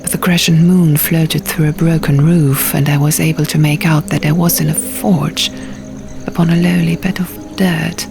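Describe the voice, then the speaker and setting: A woman reading a first-person fantasy audiobook in a measured, dramatic storytelling manner. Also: sits low, little pitch movement, creaky. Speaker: audiobook narrator. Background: music and sound effects.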